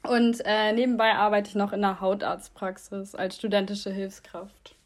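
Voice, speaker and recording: A twenty-year-old woman joins a friends' podcast. She talks in an earnest, reflective way, with a voice of middling pitch. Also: flat delivery, normal speed. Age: 20s. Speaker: guest. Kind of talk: casual chat.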